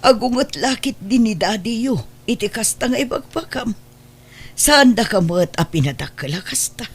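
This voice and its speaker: Lady acting a worried mom in a radio drama, husky, voice goes up and down a lot, drawn-out pleading. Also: a little high, expressive. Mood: hurt, pleading. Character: worried, pleading mother of a wayward grown son.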